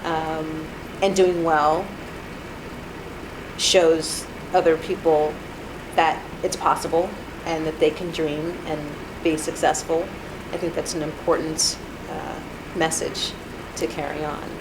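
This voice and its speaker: Slow, low-voiced woman reflecting in an interview. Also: little pitch movement, background noise. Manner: hesitant, many fillers and restarts. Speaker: alumna being interviewed. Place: apartment.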